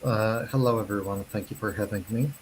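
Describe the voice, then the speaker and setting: A man from Poland with a Polish accent, a non-native English speaker, giving a careful online presentation with many pauses. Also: on the low side, a little hiss or hum, poor audio. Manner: careful, unhurried, self-conscious, with many pauses and fillers. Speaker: zine editor giving a presentation.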